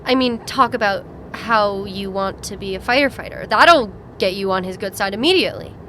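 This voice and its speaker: A woman's voice: mid-range voice, normal speed, sharp tone, lightly acted. A woman acting in an audio drama as an anxious, pleading, loving young fiancée. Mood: pleading, earnest. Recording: noisy vehicle ambience.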